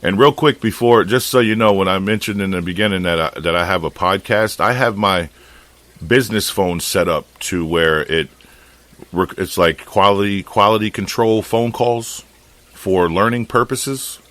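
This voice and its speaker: Give me a deep-voiced American man chatting casually. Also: moderate pace, a bit bright. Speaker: fish shop owner and podcast host. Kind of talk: casual phone conversation.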